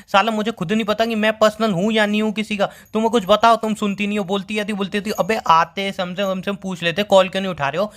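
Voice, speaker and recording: Man playing young boyfriend, quick pace, exasperated, sarcastic, pleading, repetitive, arguing. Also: high-pitched, a bit bright. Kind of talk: heated relationship argument, recorded-call skit.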